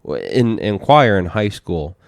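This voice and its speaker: A man's voice: on the low side, big pitch swings, gravelly, no room sound, clean studio sound. A man co-hosting a casual podcast, arguing his side and telling long stories. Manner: argumentative, drawn-out storytelling. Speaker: podcast co-host. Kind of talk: casual banter over drinks.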